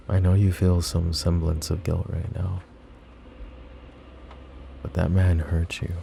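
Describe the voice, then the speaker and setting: Possessive mafia boss, deep and gravelly. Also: male voice, a little hiss or hum, exaggerated voice. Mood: tender. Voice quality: low, soft, close-mic murmur with whispers. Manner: calm, controlled, coolly threatening and doting. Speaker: voice actor. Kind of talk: roleplay ASMR audio drama. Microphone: close ASMR microphone.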